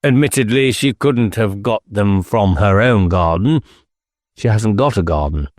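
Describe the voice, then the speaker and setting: A man's voice: fairly low pitch, expressive, measured pace, no echo, clean studio sound. A man voicing an evasive, whimsical English country squire in an audiobook, with airy, affected manner and clipped phrases. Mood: defensive, flustered. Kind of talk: audiobook character dialogue.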